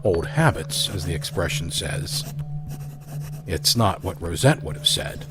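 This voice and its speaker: A man hosting and narrating an audio drama, reading a scripted story in a measured, deliberate manner. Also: sits low, animated, loud music behind the voice. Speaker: host and narrator.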